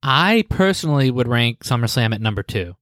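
A man's voice: mid-range voice, brisk, no room sound. Laid-back male podcast host, dry close mic. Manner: relaxed, agreeable, reads lists of services evenly. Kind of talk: casual podcast chat.

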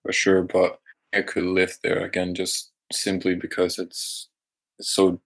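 A young man from Denmark with a mixed Danish, American and British accent, talking casually and haltingly as a guest. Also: deep voice, completely flat delivery, vocal fry, fairly bright tone, husky. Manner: halting, searching for words. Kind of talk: casual chat.

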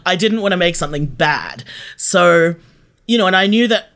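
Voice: mid-range voice, lively intonation, normal speed, fairly bright tone. Chatty podcast guest thinking aloud. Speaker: podcast creator and writer, interview guest. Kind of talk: casual interview conversation.

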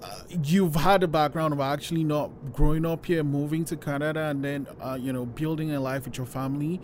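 A podcast host asking gentle, unhurried questions in a casual interview. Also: mid-range voice, steady tone, slight background noise.

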